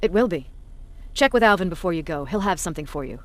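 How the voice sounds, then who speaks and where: An actress playing a tough, stern resistance commander in a video game, authoritative, curt and dryly sardonic. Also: female voice, fairly low pitch, expressive, fast talker, lightly acted. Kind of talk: dramatic video game acting.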